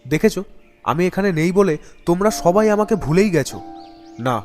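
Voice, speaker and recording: A man voicing an earnest young student son in an animated ghost story, with an emotive, pleading delivery. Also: high voice, a little fast, soft background music. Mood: upset, insistent.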